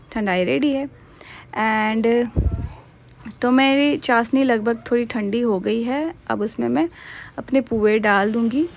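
A young woman talks through her home cooking in a relaxed, chatty voice-over. Her voice sits a little high, and she slips English words in as she goes. A faint background hiss sits under her voice. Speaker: cooking vlogger. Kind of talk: casual voice-over narration of cooking.

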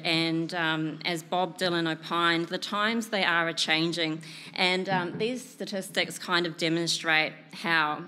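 A woman in her thirties with a New Zealand accent, a PR consultant giving a prepared presentation at a conference, speaking clearly and steadily from notes into a lectern microphone. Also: lowish voice, sharp tone. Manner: clear, measured delivery from notes. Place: conference room, indoors.

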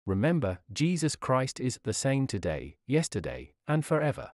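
A man reads an old-fashioned sermon with a solemn, measured voice, pressing his points with earnest exhortation. His pitch sits in the middle range for a man. The recording is close and dry, with no room sound. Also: sing-song, darkish tone, no echo. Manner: earnest exhortation with rhetorical addresses. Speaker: reader of a historical sermon. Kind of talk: read sermon narration.

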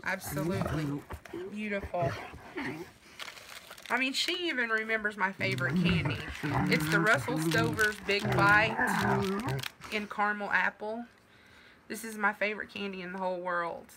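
A woman's voice: mid-range voice, moderate pace, long pauses, background noise. A middle-aged woman, chatting as she opens mail in her living room. Her voice is going from a sinus infection, and she gushes her thanks. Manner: gushing, warm thanks with fillers. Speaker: vlogger. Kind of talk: casual mail-opening vlog.

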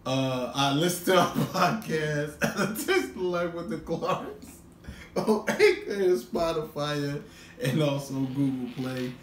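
Middle-aged male vlog host, unhurried and playful, animated delivery, roomy home sound with faint noise. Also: far from the mic. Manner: giggly, breaks into high laughter. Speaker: YouTube reaction host. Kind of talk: casual, playful reaction chat. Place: room at home, indoors. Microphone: camera a few feet away. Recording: echoey room, faint background noise.

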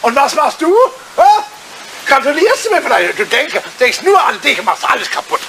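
Blustering old lord, high excitable voice. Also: male voice, normal speed, heavily acted. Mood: furious. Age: older. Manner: blustering, sputtering, repeats himself. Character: irascible, self-pitying aging English lord, jilted suitor. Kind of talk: radio play acting. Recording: noisy old radio play recording with clicks.

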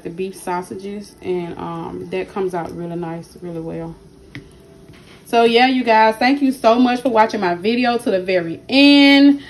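A woman with a hoarse, partly lost voice, chatting casually to her viewers as she cooks in her home kitchen, recording on a handheld phone. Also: mid-range voice, a little hiss or hum. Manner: chatty, keeps addressing viewers directly. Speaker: cooking channel host. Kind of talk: casual cooking vlog narration. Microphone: phone held in hand while cooking.

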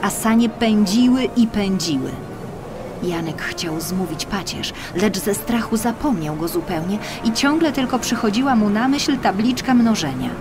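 A woman reading a scripted children's story as an audiobook narrator, with expressive, deliberate phrasing. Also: on the low side. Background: music.

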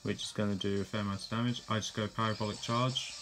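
A man with a low, easygoing voice talks through his moves in a handheld game battle. He narrates plainly, with fillers and the odd self-correction. Quiet game music plays underneath. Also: fairly even intonation, quick pace, rough recording. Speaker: gameplay commentator. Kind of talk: casual play-by-play of a game battle.

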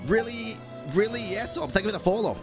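A woman streaming a game live, reacting excitably and swearing as she goes. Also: a little high, fast talker. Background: game music.

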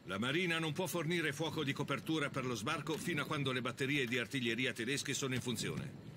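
A man playing a stern American army officer giving a firm, measured briefing in a game dub. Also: mid-range voice, noisy background, natural voice. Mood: grave, commanding.

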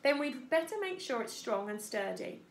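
Stubborn storybook beaver husband, played by a woman, gruff, blustering, animated, echoey room. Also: a little high, normal speed, slight background noise. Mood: grudging, businesslike. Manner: blustering, grudging, advising. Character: gruff, stubborn, grumbling adult male beaver.

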